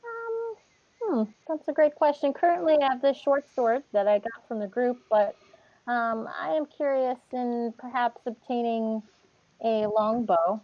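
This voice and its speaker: Polite woman with a highish voice. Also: unhurried. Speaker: tabletop game player. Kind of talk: in-character game dialogue. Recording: slightly dull online call audio.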